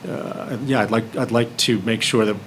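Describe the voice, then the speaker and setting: A man's voice: mid-range voice, steady tone, moderate pace, fairly bright tone, slight background noise. A man speaking candidly as a film panelist in a roundtable discussion, acting out bits of remembered conversation.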